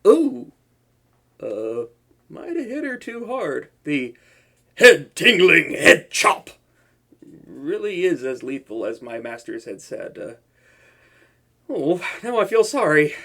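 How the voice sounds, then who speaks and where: Guy doing a soft-hearted supervillain, bright gravelly voice, slow and theatrical, stumbles on his words. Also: fairly high pitch, very animated, exaggerated voice. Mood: playful smug. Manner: theatrical, stammering when flustered, giggly. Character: flustered young male supervillain, boastful yet soft-hearted. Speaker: voice actor in an audio roleplay. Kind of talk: comedic audio roleplay acting.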